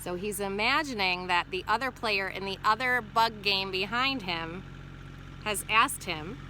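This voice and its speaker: Female primary teacher, bright crisp-edged delivery, expressive storybook read-aloud with explanatory asides, slight background noise. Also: mid-range voice, measured pace. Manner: instructive, checking in with questions. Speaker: teacher reading a picture book aloud.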